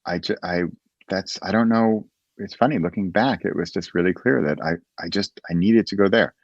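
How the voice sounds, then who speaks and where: A very low-voiced American man talks through his past in a loose, rambling way, often restarting his sentences. His recording sounds dull and dry, with no room around it. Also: moderate pace, vocal fry, dry recording. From United States. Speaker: guest. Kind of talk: casual interview chat.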